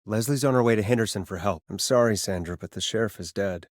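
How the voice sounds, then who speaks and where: An actor playing a wry, jaded male radio phone-in host in a video game, sardonic and expressive, moving between showy patter and casual talk. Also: on the low side, fast talker, no room sound, clean studio sound, lightly acted. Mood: sorrowful. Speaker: late-night radio host.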